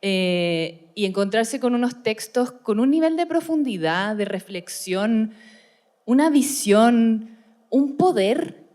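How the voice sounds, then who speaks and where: A woman's voice: mid-range voice, darkish tone. A woman, an actress giving earnest, reflective answers as a guest. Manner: thoughtful, earnest. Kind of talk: reflective interview talk.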